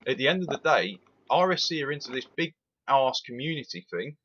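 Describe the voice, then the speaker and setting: A man's voice: medium pitch, a little fast, breathy edge, dull audio. A man, giving an opinionated, emphatic rant as a rail sim reviewer. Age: 20s. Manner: animated, emphatic, repeats itself.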